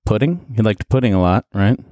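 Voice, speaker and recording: A man's voice: lowish voice, lively intonation, warm tone, dry recording, studio quality. A man hosting a casual podcast, teasing his friends and stretching words out for emphasis. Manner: playful, teasing, drawn-out emphasis. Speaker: podcast host. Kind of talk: casual banter.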